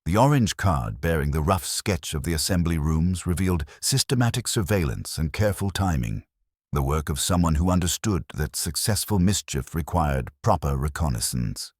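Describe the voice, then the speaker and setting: A very low male voice narrates a scripted mystery with formal, measured phrasing. The delivery moves widely in pitch, and the sound is dry and close. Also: lively intonation, darkish tone, husky, dry recording.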